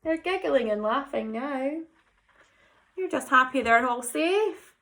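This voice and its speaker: A woman reading a picture book to children with expressive, dramatic emphasis and chatty asides. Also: high voice, takes it slowly. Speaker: teacher reading a story to children.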